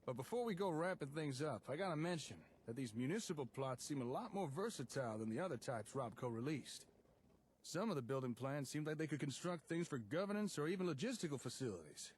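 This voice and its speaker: A chatty, enterprising male tinkerer from a post-apocalyptic video game played by an actor. His voice is on the high side and lively in pitch, easygoing and folksy in manner. Faint noise sits on the recording. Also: a little fast, natural voice. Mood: easygoing, encouraging. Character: chatty, enterprising male tinkerer guiding wasteland settlement builders. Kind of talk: in-game character dialogue.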